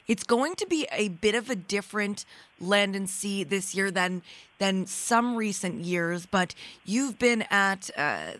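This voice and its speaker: A woman's voice: mid-range voice, no room sound. Chatty woman radio host. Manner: warm, complimentary, with frequent fillers. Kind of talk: radio interview. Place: radio studio.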